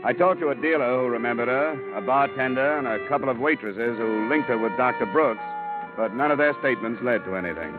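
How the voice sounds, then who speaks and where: An actor playing a weary, hard-boiled American insurance investigator in old radio drama style, with dry, clipped delivery. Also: male voice, mid-range voice, a little fast, lightly acted. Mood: dry, narrating. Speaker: lead actor in a radio detective serial. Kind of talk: 1940s-50s radio acting, first-person narration. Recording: muffled old radio broadcast with music.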